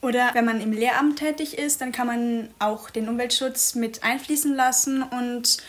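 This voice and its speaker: Eine junge Frau, Freiwillige, die anderen ermutigend Beispiele aufzählt. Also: female voice, fairly high pitch, fairly even intonation, moderate pace. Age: young adult. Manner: encouraging, explanatory. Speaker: young volunteer.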